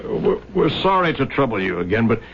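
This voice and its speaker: An actor playing a meek, pious man in a 1970s radio drama, deferential and gentle. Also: mid-range voice, sing-song, a little fast, gravelly, a little hiss or hum. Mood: earnest. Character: meek, respectful, pious brother of simple faith. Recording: dull lo-fi vintage radio recording.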